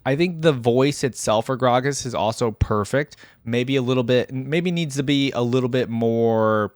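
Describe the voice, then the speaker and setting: A man's voice: medium pitch, moderate pace, no room sound, clean studio sound. A young man giving casual reaction commentary, thinking aloud and addressing viewers in a musing, tentative way. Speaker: reaction video host.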